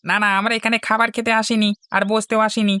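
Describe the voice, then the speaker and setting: A man's voice: sits high, flat delivery, quick pace, pushed and bright, clean tone. A man playing a rude, hot-headed village bully in a cartoon, with a curt, scornful, aggressive delivery. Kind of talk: Bengali cartoon story voice acting. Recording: music behind the voice.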